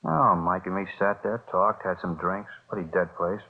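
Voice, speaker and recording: An actor playing a defensive, streetwise petty criminal with clipped, slangy American tough talk in a 1950s radio drama. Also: male voice, deep voice, normal speed. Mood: guarded, evasive. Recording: muffled vintage radio recording.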